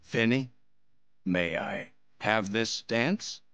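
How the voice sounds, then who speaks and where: An actor playing a cranky, stubborn old man in a radio drama, emphatic and excitable, with a sentimental streak. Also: lowish voice, very slow, clear, resonant tone, dry recording, highs cut off. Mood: tender. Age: older. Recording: music behind.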